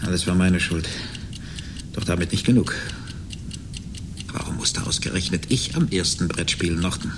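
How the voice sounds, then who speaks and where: A bitter middle-aged man out for revenge in a radio drama. His voice is deep, and he taunts and accuses with sneering, theatrical weight. There is clear noise behind him. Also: moderate pace, pauses often. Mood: bitter accusing. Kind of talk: German radio drama acting. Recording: noisy 1980s radio drama recording.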